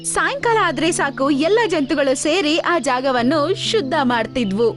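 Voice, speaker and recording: A woman's voice: sits high, animated, measured pace. A woman narrating a scripted animal cartoon story as its storyteller. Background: light background music.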